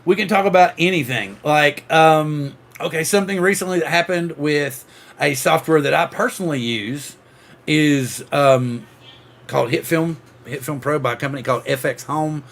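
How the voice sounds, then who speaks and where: A man's voice: on the high side, normal speed, vocal fry, a bit bright. A middle-aged man hosting a casual podcast chat, rambling and jokey, laughing often. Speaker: podcast host. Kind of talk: casual chat on a livestream panel.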